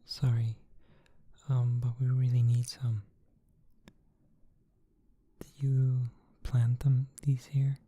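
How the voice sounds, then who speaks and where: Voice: medium pitch, flat delivery, slow, pauses often, dark tone, breathy edge. A narrator whispering the lines of a polite, apologetic adventurer. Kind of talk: whispered ASMR storytelling.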